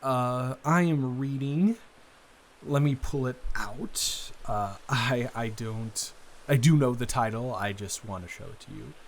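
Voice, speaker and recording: A man's voice: medium pitch, lightly acted. Awkward, rambling, bookish young guy, acted by a man. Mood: enthusiastic, rambling. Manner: halting, rambling, self-interrupting with repeated restarts. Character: friendly, bookish, awkward best friend's brother, young man. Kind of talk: improvised roleplay audio, casual chat to the listener.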